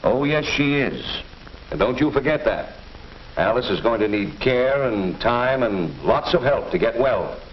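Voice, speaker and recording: An American man playing a kindly, patient family doctor in a 1940s instructional film, lecturing in a measured, deliberate way. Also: medium pitch, animated, lightly acted. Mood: grave, explaining. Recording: muffled, hissy 1940s film soundtrack.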